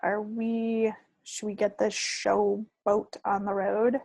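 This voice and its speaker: A woman's voice: mid-range voice, flat delivery, takes it slowly, creaky, darkish tone, no echo. A woman co-hosting a podcast, chatting casually with a dry, wry understatement. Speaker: podcast co-host. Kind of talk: casual chat.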